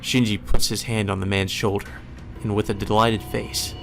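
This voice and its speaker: A man's voice: on the low side, flat delivery, moderate pace, creaky. A man reading visual novel narration aloud as a let's play host. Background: faint music.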